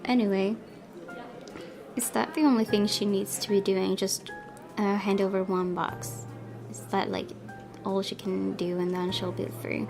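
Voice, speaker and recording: A woman narrates a visual novel for her viewers. Her voice is medium in pitch and expressive, moving between storytelling and casual commentary. Game music plays clearly underneath. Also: unhurried, long pauses. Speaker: let's play streamer.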